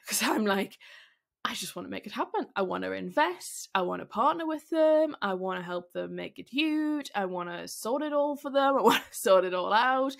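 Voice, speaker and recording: A woman hosting a solo business podcast, speaking candidly with a croaky, husky voice from being unwell, thinking aloud with fillers and restarts. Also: lowish voice, a bit bright. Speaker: podcast host.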